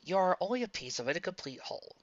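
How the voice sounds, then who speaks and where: An amateur reader voicing a calm, gentle man delivering bad news. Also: medium pitch, quick pace, no room sound, pitched above the performer's own voice, lightly acted. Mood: solemn. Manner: gentle, solemn. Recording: dull lo-fi dry recording.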